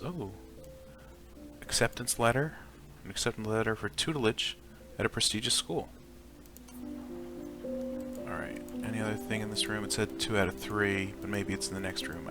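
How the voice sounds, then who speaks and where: A man hosting a gaming video, giving casual, offhand let's play commentary as he thinks aloud. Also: on the low side. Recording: music behind the voice.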